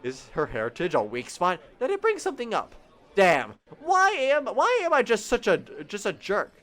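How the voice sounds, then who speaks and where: A man's voice: sits high, normal speed. Giggly young man narrating a game. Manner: animated, giggly, with joking asides. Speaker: streamer reading a visual novel aloud.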